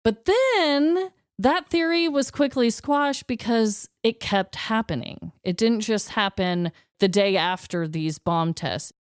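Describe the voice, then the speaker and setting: A woman's voice: on the high side, slow, few pauses, smooth, no echo, studio quality. A woman co-hosting a podcast, telling stories in a casual, conversational way with an informal, candid manner. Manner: informal, candid, occasionally swearing. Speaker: podcast co-host.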